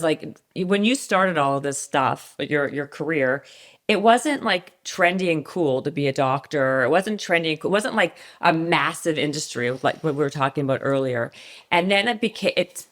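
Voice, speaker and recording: Brief: woman from Canada, podcast host, low pitch, eager questioner. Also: lively intonation, a bit bright. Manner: eager, quick follow-up questions. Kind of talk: podcast interview.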